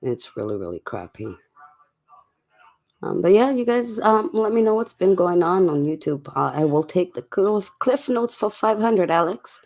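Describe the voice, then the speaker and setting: A livestream host chatting casually and in a laid-back way to viewers late at night, with a voice going hoarse from a cough. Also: medium pitch, very animated, moderate pace, a little mellow. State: sick with a cough, voice getting hoarse.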